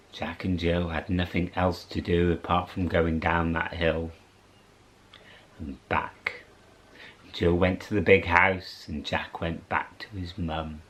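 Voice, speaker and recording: A middle-aged man telling a children's story, dramatic, dropping to whispers and rising high for effect.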